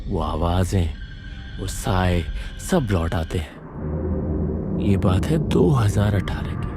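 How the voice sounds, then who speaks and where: A man narrating a scripted first-person horror story in a suspenseful, deliberate manner. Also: on the low side.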